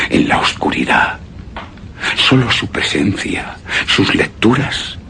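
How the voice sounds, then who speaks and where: An actor playing a grieving, obsessed widower in a suspense and horror radio drama, with a solemn, halting, brooding delivery that drops into whispers. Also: male voice, low voice, fairly bright tone, slight background noise, heavily acted. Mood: mournful. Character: grieving, obsessed widower recounting his beloved's death. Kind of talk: Spanish-language suspense and horror radio drama, theatrical monologue.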